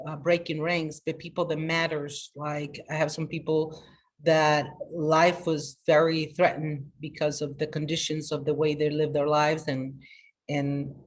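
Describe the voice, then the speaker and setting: Energetic woman coach hosting a live talk. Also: low-pitched. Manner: energetic and emphatic, with many restarts. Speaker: life coach and trainer. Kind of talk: live motivational talk to an online group.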